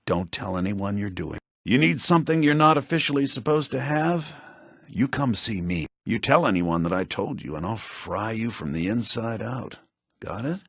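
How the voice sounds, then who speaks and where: An actor voicing shrewd, wry men of a fantasy video game, such as merchants and mages, in a sly, dry, theatrically expressive manner. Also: male voice, moderate pace, vocal fry, husky, no room sound, heavily acted. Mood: sly, hushed. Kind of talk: scripted video game character lines. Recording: low-bitrate game audio rip.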